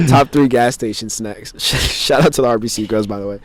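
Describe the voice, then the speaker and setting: A man's voice: medium pitch, moderate pace. A man hosting a podcast in casual chat, teasing his guest, laughing between lines and often trailing off mid-thought. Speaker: podcast host.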